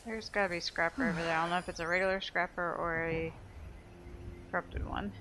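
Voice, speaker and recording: A woman's voice: on the low side, steady tone, brisk, background noise. A woman giving casual, off-the-cuff commentary as she plays a video game. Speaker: gaming video host. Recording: game music behind the voice. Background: game music.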